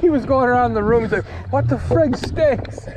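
A man's voice: very high-pitched, moderate pace. A man chatting and joking while fishing from a small boat outdoors, swearing freely, recorded on an action camera. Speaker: fishing vlogger. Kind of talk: casual chat while fishing. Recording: wind and boat noise behind.

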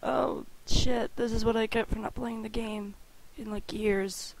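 A woman's voice: on the high side, fairly even intonation, unhurried, darkish tone. Casual, sweary young woman rambling. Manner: jokey, swears freely. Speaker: amateur voice actor auditioning.

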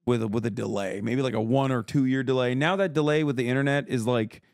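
A man in his thirties from Turkey, a podcast co-host telling stories in a blunt, profane, casual way on a boom mic in a studio. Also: fast talker, darkish tone, no room sound. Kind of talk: casual chat. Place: indoor podcast studio with sofas. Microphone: boom microphone close to the mouth.

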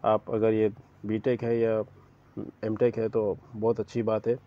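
A man's voice: on the low side, completely flat delivery, measured pace, lo-fi sound. A man hosting his own channel, giving casual career advice that rambles and repeats itself, full of fillers. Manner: rambling and repetitive, with frequent fillers. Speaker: YouTube channel host. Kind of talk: informal career advice talk.

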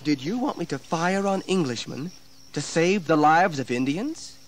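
An actor playing a proud, defensive colonial militia colonel, formal and protesting, in a historical audio drama. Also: male voice, on the high side, expressive, normal speed, lightly acted. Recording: 1980s audio drama with background noise.

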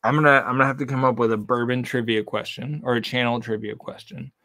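A man's voice: medium pitch, fast talker, dry recording. Chatty man hosting a whiskey livestream. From Texas. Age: 30s. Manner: relaxed, chatty, reading viewer comments aloud. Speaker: whiskey channel host. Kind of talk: casual livestream chat. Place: home bar with lit shelves of bottles. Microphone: desk condenser mic.

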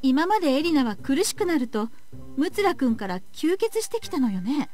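A woman playing a calm, caring young female researcher in a visual novel game. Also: sits high, normal speed, soft background music. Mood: curious. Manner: soft-spoken, polite. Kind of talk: Japanese visual novel voice acting.

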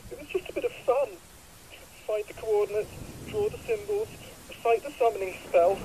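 Rain and thunder crowd in on a high-voiced man in a horror audio drama, played by an actor. He is a despairing sailor making distress calls, panicked and pleading, as the storm clearly muddies the recording. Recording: noisy radio-style recording, rain and thunder.

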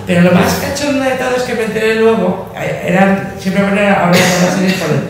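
A woman's voice: mid-range voice, fast. A woman with a Spanish accent telling a funny work anecdote on a panel, laughing as she goes. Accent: Spanish from Spain. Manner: joking, laughing storyteller. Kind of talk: casual panel chat. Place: large echoey library room. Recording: echoey room, distant from the mic.

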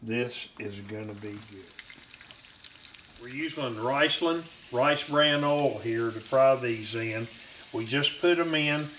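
Man, 50s, homespun cooking host, slow and easygoing, recorded in an echoey kitchen. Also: mid-range voice, a bit bright, slight background noise. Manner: plain-spoken, homespun, walks through each step. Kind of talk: step-by-step cooking demonstration. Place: home kitchen, indoors. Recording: roomy kitchen echo.

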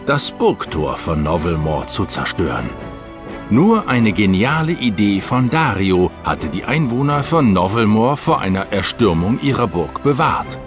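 Male narrator for children's audio drama, highly varied intonation, music underneath.

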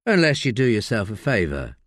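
A man voicing a brisk, firm woman police detective with a clipped manner. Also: on the low side, huge pitch swings, a bit bright, no room sound, clean studio sound.

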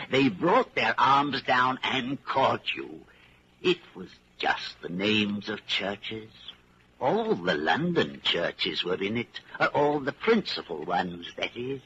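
Old-time radio drama: aged shopkeeper, deferential, rambling, wistful, swooping pitch, unhurried, on a dull noisy recording. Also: male voice, bright, forceful tone. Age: older. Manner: rambling, hesitant, polite old-timer's talk. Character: elderly widowed junk shop keeper, chatty, wistful, deferential. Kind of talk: 1940s radio drama acting. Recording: muffled, hissy 1940s radio recording.

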